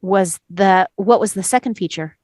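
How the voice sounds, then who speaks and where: A woman in a drama table read playing a calm, persistent male juror, courteous and measured as the questioning goes on. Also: medium pitch, slightly rough, no echo, studio quality, lightly acted.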